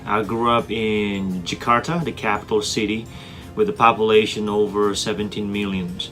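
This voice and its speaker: Earnest lower-voiced man from Indonesia. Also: flat delivery, moderate pace. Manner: earnest, with frequent "uh" fillers and repeated words. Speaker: teacher. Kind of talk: scripted self-introduction. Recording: soft guitar music underneath.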